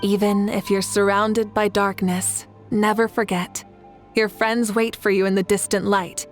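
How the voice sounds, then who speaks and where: Game cast: woman voicing a confident, reassuring leader with an even, mid-range voice. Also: medium pitch, unhurried, soft background music, close to an everyday voice. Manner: calm, reassuring. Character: confident, caring female leader.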